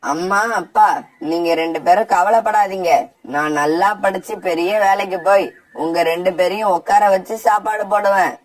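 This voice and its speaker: A young male student bird from a cartoon speaks earnestly and reassuringly, played by a voice actor. His voice is mid-pitched and sounds slightly distant from the microphone. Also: fairly even intonation, normal speed, lightly acted.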